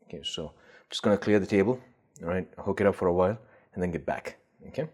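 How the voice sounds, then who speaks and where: Deep husky man, casual unboxing. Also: quick pace, a little mellow. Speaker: gadget collector and video host.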